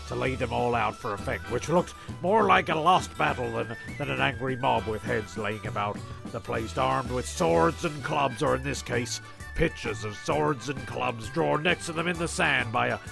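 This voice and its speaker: A man narrates a comic story in an offhand way. His medium-pitched voice is very gravelly, and he stumbles and repeats phrases while music plays clearly behind him.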